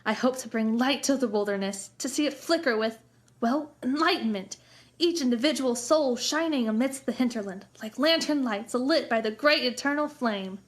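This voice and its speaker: A woman's voice: a little high, moderate pace, natural voice. A woman playing an eager, genteel, starry-eyed lady missionary in a western comedy audio drama, with flowery, grandiloquent speech. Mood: lofty.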